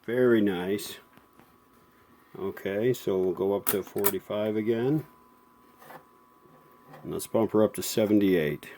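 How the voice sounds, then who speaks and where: A man giving a casual hands-on repair demonstration as a show host, thinking aloud in a folksy, unhurried way. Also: fairly low pitch, dark tone, a little hiss or hum. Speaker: host of a vintage audio repair channel.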